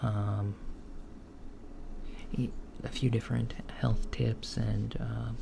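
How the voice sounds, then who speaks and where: A man playing a calm, attentive male alien doctor in a softspoken ASMR roleplay, talking gently and hesitantly, with frequent ums and slips into a whisper. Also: on the low side, vocal fry, heavily acted. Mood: gentle. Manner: soft-spoken, unhurried, slipping into whispers. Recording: lo-fi with steady background hiss.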